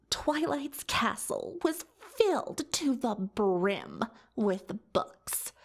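A woman's voice: sits high, full character voice. A woman playing a boastful, self-dramatising unicorn pony magician in an ASMR roleplay, telling her tale with haughty theatrical airs and confiding asides. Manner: haughty, theatrical, third-person self-reference, conspiratorial asides. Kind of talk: ASMR roleplay monologue, storytelling.

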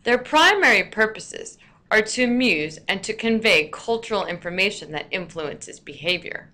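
A woman narrating a scripted lesson as a teacher, with deliberate, storyteller-like emphasis. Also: mid-range voice, lively intonation, bright, forceful tone.

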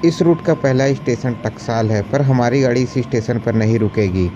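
A man's voice: mid-range voice, normal speed, highs cut off, over loud music. A young man narrating a travel vlog as its host. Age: young adult. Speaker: travel vlogger.

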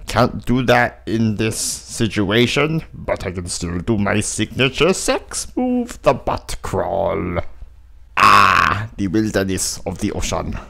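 A man voicing a gloomy, drunken, foul-mouthed sea captain with a gravelly voice in a cartoon parody. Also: fairly low pitch, sing-song, bright, forceful tone, voice pushed down, exaggerated voice. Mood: grumbling, musing. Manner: gloomy, slow, crude. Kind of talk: crude cartoon parody dub.

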